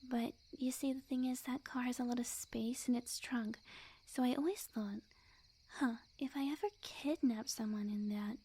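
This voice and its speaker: A woman playing a sweet, obsessive witch girlfriend in an ASMR roleplay, speaking softly and breathily in a coy, unhurried, often whispered voice. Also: fairly high pitch, fairly even intonation, slightly rough. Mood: pleased, chatty.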